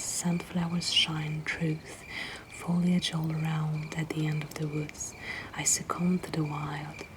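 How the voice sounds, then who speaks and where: Whispering woman reading poetry slowly. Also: sits low, background noise. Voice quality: breathy, whispered. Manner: hushed, measured, deliberate. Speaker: poetry reader and host.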